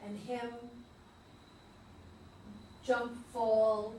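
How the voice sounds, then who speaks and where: A woman's voice: fairly high pitch, level tone, drawn-out words, dark tone, slight background noise. A woman eagerly describing how a scene could be staged in a casual group discussion. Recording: very echoey and distant.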